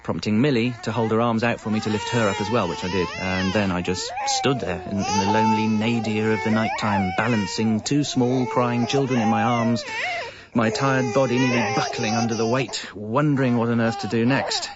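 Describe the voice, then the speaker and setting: A man's voice: fairly low pitch, fairly even intonation, moderate pace, lo-fi sound, heavy background noise, natural voice. A British man acting as a weary, frustrated, put-upon father in a radio comedy, with wry, self-pitying delivery. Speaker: narrator and lead actor. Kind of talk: radio comedy monologue and dialogue.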